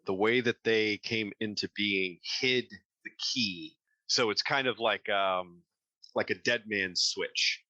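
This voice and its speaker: A man's voice: on the low side, slow pace, bright, forceful tone, dry recording, dull audio. A man acting as game master, narrating a tabletop fantasy game in a casual, improvised way.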